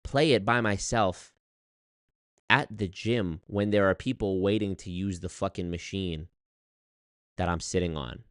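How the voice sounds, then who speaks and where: A man telling a story on his livestream in an animated, exasperated way, swearing often and reading chat. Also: low-pitched, measured pace, long pauses, smooth, no room sound, clean studio sound. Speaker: streamer. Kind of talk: casual livestream rant.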